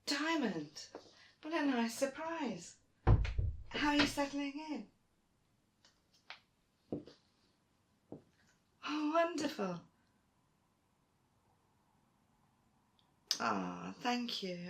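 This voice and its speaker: A woman playing a proud, stubborn archaeologist in an audio roleplay, with emphatic, expressive delivery. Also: unhurried. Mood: warm, pleased. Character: Dune, proud, determined female archaeologist, stubborn and warm to friends. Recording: roomy, faint rumbling noise.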